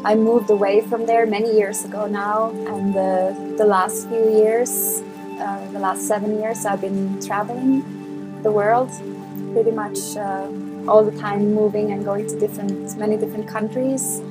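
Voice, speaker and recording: A woman from Sweden answering interview questions slowly and thoughtfully, with many pauses and fillers. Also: mid-range voice, level tone, poor audio. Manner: slow, thoughtful, hesitant with fillers. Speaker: guest musician. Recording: music playing behind.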